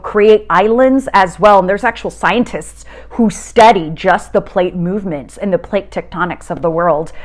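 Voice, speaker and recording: A middle-aged woman from South America, a TV meteorologist giving a casual science lesson from home, chatty and upbeat with frequent filler "uh"s and restarts. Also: medium pitch. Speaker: TV meteorologist teaching a kids' lesson.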